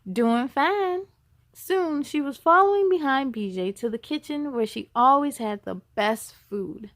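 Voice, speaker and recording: A woman reading a children's storybook aloud as a storytime host, with expressive, emphatic delivery. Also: high voice, normal speed, dry recording. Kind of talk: animated children's storybook narration.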